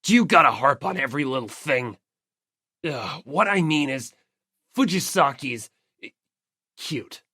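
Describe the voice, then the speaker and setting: A performer voicing a brash, gruff teenage male biker gang leader in an audiobook, blunt, proud and slangy. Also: mid-range voice, lively intonation, a little slow, pauses often, bright, forceful tone, dry recording, clean studio sound, heavily acted. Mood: irritated. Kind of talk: audiobook character acting.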